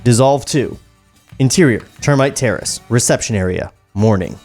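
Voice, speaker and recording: A man's voice: medium pitch, moderate pace, a little mellow, soft background music. A man hosting a podcast, reading screenplay stage directions aloud into a studio microphone. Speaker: podcast host and script narrator.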